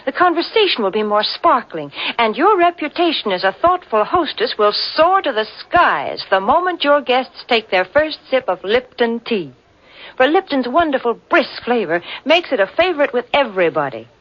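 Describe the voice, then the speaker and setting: A woman's voice: very animated, moderate pace. A woman reading a cheerful, polished commercial on a 1940s radio show. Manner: cheerful, polished sales pitch. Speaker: announcer reading a tea ad. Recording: dull old radio recording.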